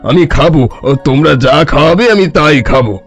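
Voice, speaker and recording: A man's voice: fairly high pitch, brisk, faint music behind, close to an everyday voice. A man voicing a sly, sweet-talking and cowardly fake holy man in a cartoon story. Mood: pleased, grateful.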